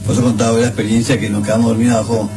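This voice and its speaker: A man's voice: on the low side, little pitch movement, rapid-fire, music in the background. A man hosting a live radio show, chatting casually in long, rambling anecdotes full of restarts. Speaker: radio host.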